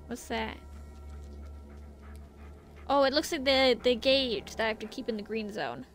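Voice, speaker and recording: A woman's voice: on the high side, measured pace, fairly bright tone, soft background music. A woman giving casual gameplay commentary on a horror game, playfully thinking aloud as she goes. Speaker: gaming video host playing a horror game.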